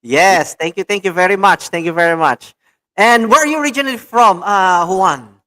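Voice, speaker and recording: A man's voice: sits high, moderate pace, sharp tone. A man hosting an online talk show, enthusiastic and repetitive, with many fillers. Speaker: show host.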